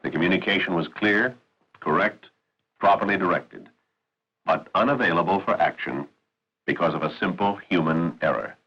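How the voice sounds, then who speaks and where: A man giving formal, authoritative, measured narration for a 1960s military training film. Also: low voice, animated, a little hiss or hum. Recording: muffled 1960s film soundtrack.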